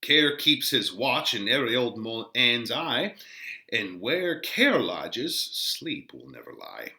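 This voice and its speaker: A man playing a gentle, fatherly older friar, reciting Shakespearean verse in a measured, drawn-out way. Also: medium pitch, big pitch swings, roomy sound, full character voice. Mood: gently probing. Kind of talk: dramatic reading of Shakespearean verse.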